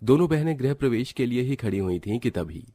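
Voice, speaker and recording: A man's voice: medium pitch, lively intonation, fairly quick, warm tone. A man reading scripted narration for a cartoon family story.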